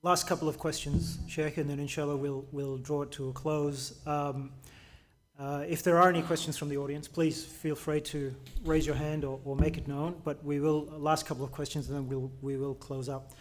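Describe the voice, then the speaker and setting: A man's voice: a little high, little pitch movement, moderate pace. A man hosting a live discussion, easygoing and polite, often using fillers. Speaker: host, moderator.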